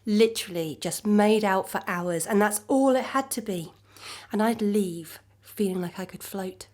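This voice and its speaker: Female actor, intimate radio play: loving, steadfast young girlfriend, medium pitch, understated and conversational, gentle delivery. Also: unhurried, natural voice. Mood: nostalgic. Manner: soft, conversational, reassuring. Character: Chloe, devoted, forgiving young girlfriend, gently resolute. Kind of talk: intimate naturalistic audio drama acting.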